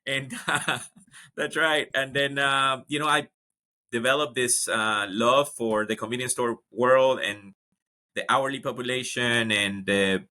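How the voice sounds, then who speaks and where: A man's voice: mid-range voice, steady tone, measured pace, sharp tone. A man from Ecuador, a guest telling his career story in a casual interview, with lots of fillers and restarts. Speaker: guest, HR executive.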